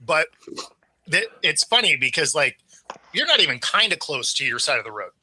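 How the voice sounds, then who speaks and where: A man's voice: a little high, big pitch swings, normal speed, sharp tone, low-quality recording. A man hosting a sports talk show in casual panel chat over a video call, easygoing and joking, laughing often. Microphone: video call microphone.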